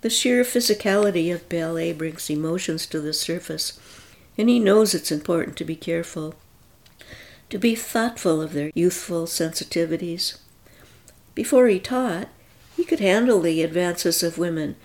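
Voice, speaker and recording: A woman narrating a story aloud in a calm, even reading voice. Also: fairly low pitch, normal speed.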